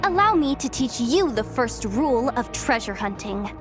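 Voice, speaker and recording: A woman's voice: fairly high pitch, big pitch swings, moderate pace, over music. A woman voicing a cheeky, breezy young female treasure hunter in a video game, with a flippant, chatty, theatrical manner. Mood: playful bluster. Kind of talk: animated video game voice acting.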